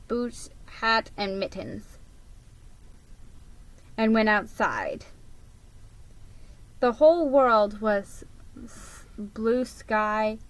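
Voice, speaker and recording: A woman reading a children's story aloud as a dramatic reading, with expressive, emphatic storytelling. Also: on the high side, low-quality recording. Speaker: storybook reader.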